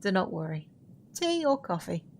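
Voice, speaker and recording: A woman's voice: on the low side, sing-song, moderate pace. A woman acting a mysterious, courteous witch hostess in an audio drama.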